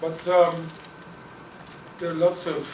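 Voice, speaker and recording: A man's voice: on the high side, monotone, takes it slowly. A man from Guyana giving an academic talk and answering questions, earnest, often repeating words as he thinks. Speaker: guest speaker on Caribbean languages. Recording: echoey room, distant mic, noisy.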